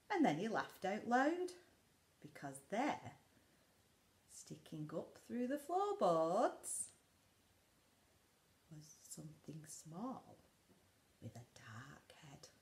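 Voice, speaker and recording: A woman in her forties reads a picture book aloud to young children in a dramatic way, often dropping to a hush. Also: fairly low pitch. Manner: dramatic, often drops to a hushed whisper. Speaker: school storyteller. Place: living room indoors by a window.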